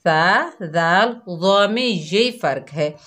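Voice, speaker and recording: Slow, patient woman teaching pronunciation. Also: on the low side, lively intonation, a bit bright. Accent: Pakistani Urdu. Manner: patient and repetitive, drawing out demonstration sounds. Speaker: Quran recitation teacher. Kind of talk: slow classroom instruction to children.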